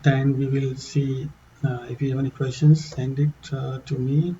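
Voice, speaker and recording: A man with an accent walking through a software demo in a halting, casual way, with many fillers and restarts. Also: mid-range voice, little pitch movement, muffled recording, slight background noise. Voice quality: non-native English accent. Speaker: tutorial presenter. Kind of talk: casual screen-share walkthrough.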